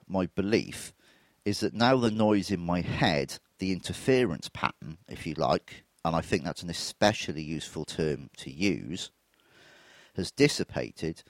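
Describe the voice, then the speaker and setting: A man's voice: low voice, dry recording. A man in his forties hosting a solo podcast, reflective and candid, his voice throaty from a touch of flu. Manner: reflective, candid, self-deprecating. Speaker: podcast host.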